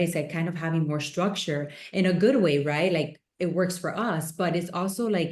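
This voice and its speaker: A woman's voice: sits low, a little mellow, roomy sound. A woman from Miami co-hosting a podcast, chatting casually and telling stories with lots of fillers. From Miami, USA. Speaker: podcast co-host. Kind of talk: casual chat.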